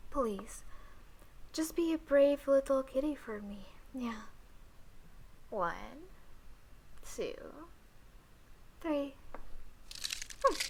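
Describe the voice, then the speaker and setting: A woman's voice: a little high, low-quality recording. A woman playing a detached female alien scientist in an audio roleplay, speaking clinically with playful hums and asides to the listener. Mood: coaxing. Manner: clinical log-keeping, drawn-out hesitant hums and asides.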